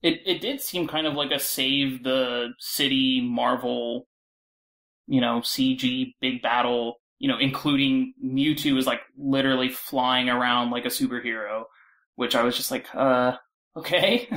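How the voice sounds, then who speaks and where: A man's voice: mid-range voice, steady tone, measured pace, fairly bright tone. A man speaking as a panelist in a casual podcast chat.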